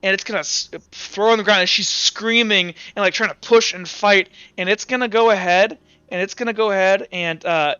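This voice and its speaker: A man improvising narration as a tabletop game master, halting and casual, swearing freely as he goes. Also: high voice, bright, forceful tone, clean tone, no room sound, lo-fi sound.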